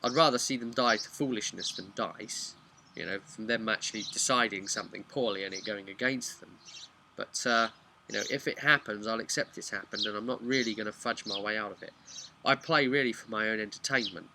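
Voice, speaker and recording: A man's voice: fairly low pitch, brisk, creaky, pushed and bright, slight background noise. A man giving a casual, unscripted explainer as a video host, rambling along in a chatty, frank way. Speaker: video host and tabletop game master.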